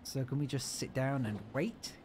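A man's voice: mid-range voice, moderate pace, noisy background. A man streaming a video game, joking and reading the on-screen text aloud as he plays. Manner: playful, jokey, reads game text aloud. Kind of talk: let's-play commentary.

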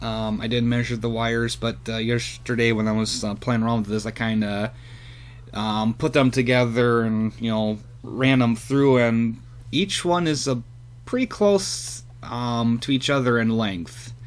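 A man's voice: mid-range voice, fairly even intonation, unhurried. A man casually showing off his radio antenna setup, rambling with lots of fillers, filmed on a handheld camera outdoors and then at a computer. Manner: rambling, hesitant with many fillers. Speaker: hobbyist vlogger. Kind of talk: casual show-and-tell.

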